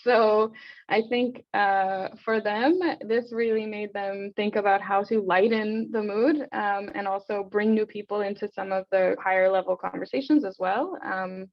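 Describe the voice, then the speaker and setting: A woman moderating a panel, with a noticeably creaky voice. The sound is dry and close but somewhat dull, and she speaks in a conversational, pausing way. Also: medium pitch, fairly even intonation, no echo. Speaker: host and moderator of an online event.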